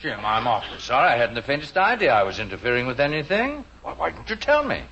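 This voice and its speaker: An actor playing a pushy, genial American guest in a 1950s radio sitcom, hearty and oblivious. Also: male voice, medium pitch, sing-song, quick pace, pushed and bright. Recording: dull, hissy old radio recording.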